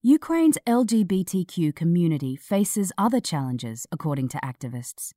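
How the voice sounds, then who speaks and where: A woman narrating a scripted news article in a measured, serious manner. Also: on the low side, sing-song, warm tone, no echo, clean studio sound.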